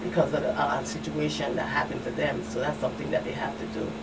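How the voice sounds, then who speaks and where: A woman's voice: low voice, flat delivery, fast talker. A woman answering as a guest expert, earnest and conversational, circling back and checking that she is understood. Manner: earnest, repetitive, often adds "you understand". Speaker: community outreach specialist, guest expert. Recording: distant mic, clear background noise.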